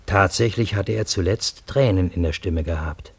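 An actor as a wry first-person storyteller, narrating a radio drama in a measured, matter-of-fact way. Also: male voice, deep voice, slightly rough, no room sound. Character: wry male first-person storyteller recounting strange events.

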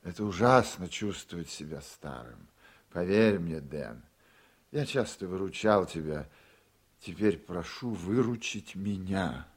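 An actor playing a proud, aging senator in a Russian radio drama, paternal and needy, with drawn-out emphatic speech. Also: male voice, sits low, animated, breathy edge, full character voice. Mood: pleading, reproachful. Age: older.

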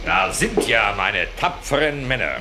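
An actor playing a pompous, self-satisfied admiral who speaks ceremoniously in a radio play. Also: male voice, medium pitch, big pitch swings, unhurried, very noisy. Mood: jovial, pompous. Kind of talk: German radio play acting.

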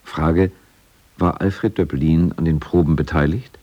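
A man's voice: a bass voice, fairly even intonation, measured pace, lo-fi sound. A man reading scripted narration for a radio documentary.

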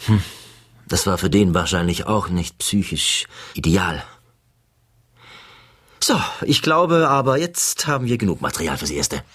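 An actor playing a musing, drily curious male interviewer with a husky voice in a radio play. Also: sits low, expressive, unhurried, pauses often, fairly bright tone, dry recording, clean studio sound, full character voice.

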